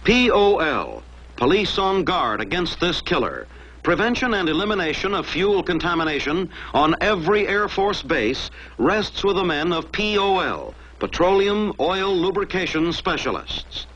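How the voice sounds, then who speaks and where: A man's voice: sits high, big pitch swings, a little slow, a bit bright. An American man narrating a mid-century military training film from a script in a dramatic, emphatic manner. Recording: dull lo-fi old film soundtrack.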